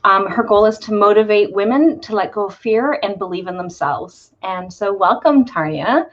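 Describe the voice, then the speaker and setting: A woman hosting a live-streamed interview, bubbly and excited, with many ums and uhs. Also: medium pitch, moderate pace, muffled recording. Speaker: host.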